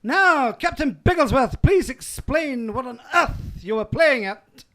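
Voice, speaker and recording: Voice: high-pitched, animated, measured pace, a bit bright, full character voice. An actor playing a bumbling, cheeky hospital doctor, pompous and flustered in a comic radio play.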